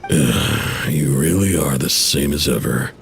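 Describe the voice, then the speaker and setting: Gravelly game informant, animated pitch, proud, huffy, grumbling, faint noise behind. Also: male voice, measured pace, heavily acted. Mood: exasperated. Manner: huffy, exasperated. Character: indignant, proud, grumbling male intel broker. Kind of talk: video game voice acting.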